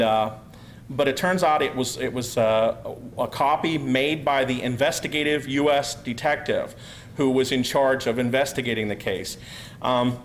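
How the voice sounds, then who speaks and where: Loud guy from pennsylvania giving a talk, lots of ums and restarts, mid voice, faint hiss. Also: medium pitch. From Pennsylvania, USA. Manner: loud, rambling, many fillers and restarts. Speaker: guest speaker. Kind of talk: lecture to a live audience.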